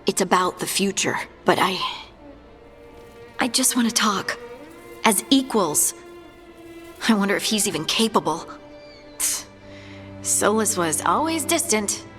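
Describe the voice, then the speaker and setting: A woman's voice: medium pitch, a bit bright, long reverb tail. A woman playing a friendly, thoughtful dwarf scout in a video game, talking in an earnest, conversational way.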